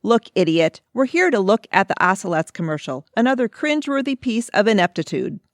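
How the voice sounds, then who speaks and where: A woman's voice: medium pitch, very animated, fairly quick, no room sound, studio quality. A woman playing a scornful, exasperated older office colleague in a comedy audio drama, delivering sarcastic insults. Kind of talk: community audio theatre comedy acting.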